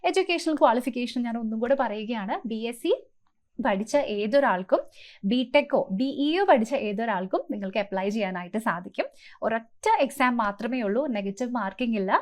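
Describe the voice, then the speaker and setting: A woman speaks in a somewhat high-pitched voice. She explains things step by step as a coaching presenter would, in an instructive way. English terms are mixed freely into her sentences. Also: a little fast. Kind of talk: explanatory presentation to camera for exam aspirants.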